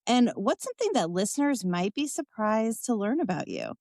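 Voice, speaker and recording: A woman hosting a podcast, reading a clear scripted introduction and asking interview questions. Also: on the high side, normal speed, dry recording, studio quality. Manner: clear, even presenter delivery with short listening responses. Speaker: podcast host.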